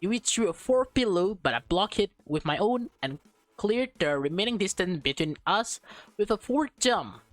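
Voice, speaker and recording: A woman doing a let's play, reading a visual novel aloud with expressive delivery, casual reacting asides and the occasional stumble over words. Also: medium pitch, moderate pace, dry recording, soft background music.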